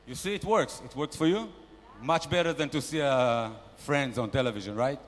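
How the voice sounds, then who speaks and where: A man's voice: normal speed, slight background noise, lightly acted. A man playing a brash boastful investor shark. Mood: pushy. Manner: loud, bossy, mock-grand sales pitching. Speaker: comedian performing at a panel. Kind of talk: stand-up character bit. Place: large conference hall. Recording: reverberant conference hall.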